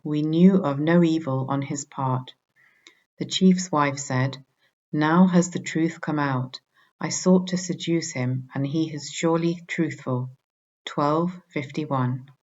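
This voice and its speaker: Low-voiced woman reading a religious study. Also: darkish tone. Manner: measured, formal reading. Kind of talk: scripted narration of a religious study. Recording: dull, muffled lo-fi recording.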